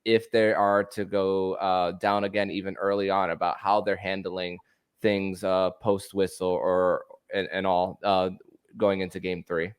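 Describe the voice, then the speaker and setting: A man hosting a sports podcast, asking questions and thanking his guest, halting with many fillers and restarts. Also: low-pitched, level tone, clear, resonant tone, dry recording, studio quality. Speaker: podcast host. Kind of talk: casual sports podcast interview.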